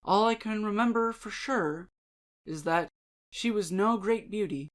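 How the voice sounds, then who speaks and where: Female reader for dramatic literary pieces. Unhurried, measured, theatrical delivery, medium pitch. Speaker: channel host and reader. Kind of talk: dramatic poetry and prose reading.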